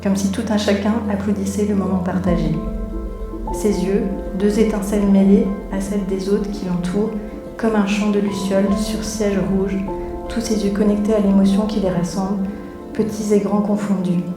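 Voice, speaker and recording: A woman's voice: mid-range voice, little pitch movement, moderate pace. A woman, a theatre director, addressing an audience live from a theatre, in the manner of a welcome and read-aloud story. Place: theatre auditorium, before an audience. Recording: roomy echo, music underneath.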